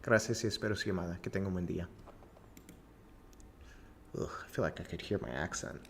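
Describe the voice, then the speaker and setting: Courteous male agent narrating his call day, lowish register, slight hum behind. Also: quick pace, creaky, a bit bright. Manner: polite and courteous, says ma'am; speaks Spanish as a second language. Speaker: real estate agent. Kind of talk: working vlog of follow-up sales calls.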